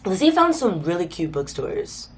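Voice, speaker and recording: A woman's voice: lowish voice, big pitch swings, moderate pace. Stuffy-nosed woman chatting to camera. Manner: chatty, informal, addresses viewers as y'all. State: stuffy, congested from a cold. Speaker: vlogger host. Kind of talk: casual vlog chat to camera.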